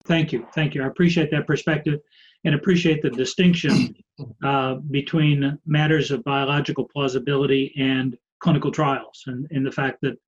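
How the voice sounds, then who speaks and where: A man hosting an interview, asking long halting questions full of fillers and restarts. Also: medium pitch, steady tone. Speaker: interviewer host. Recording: husky, dull lo-fi audio.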